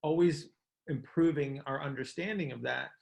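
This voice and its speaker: A man's voice: a little high, moderate pace, gravelly, darkish tone. A man hosting a coaches' call, explaining things in a casual discussion and often checking in with "right?". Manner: often ends points with "right?".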